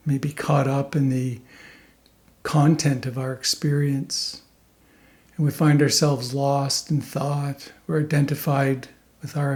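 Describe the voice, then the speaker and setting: A male meditation teacher speaks in a voice pitched a little higher than most men's. His delivery is very slow and calm, laid out in short phrases with generous pauses, as he guides the listener through a meditation.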